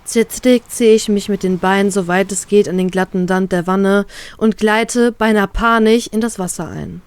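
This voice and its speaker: A woman reads her first-person romance story aloud in a calm, steady way. Her voice sits at a middle pitch, neither high nor low. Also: normal speed, clear, resonant tone, no echo. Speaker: fan fiction reader.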